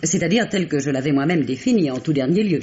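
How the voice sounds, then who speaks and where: An actress playing an assured clinic head nurse giving precise, formal, emphatic testimony in a 1950s French radio crime drama. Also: female voice, deep voice, animated, fast talker, darkish tone. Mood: precise, earnest. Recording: muffled, noisy old radio recording.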